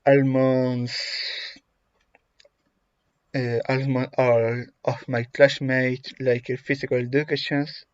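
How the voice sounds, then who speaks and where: A man from Chile with a Chilean Spanish accent, a native Spanish speaker reading a prepared self-introduction in English, halting, with frequent fillers and restarts. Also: medium pitch, no echo. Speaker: English language student. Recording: dull lo-fi recording.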